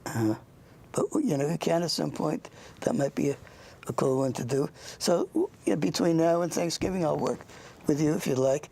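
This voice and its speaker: An older interviewer with a gravelly, husky voice, chatting informally and haltingly with a young guest, often rambling into anecdotes. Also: mid-range voice, expressive, warm tone, slight background noise. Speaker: interviewer, show host.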